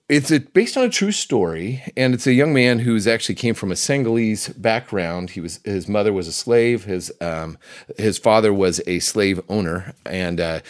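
A man hosting a film review show in casual, unscripted chat, rambling along and often doubling back on his phrases. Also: lowish voice.

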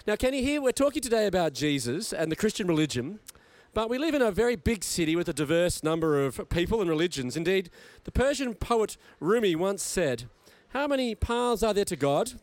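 A man's voice: sits high, sing-song, a little fast, few pauses, dry recording. A man hosting a live interview show outdoors at a festival, upbeat and presenter-like. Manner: upbeat, presenter-style introductions. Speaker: show host.

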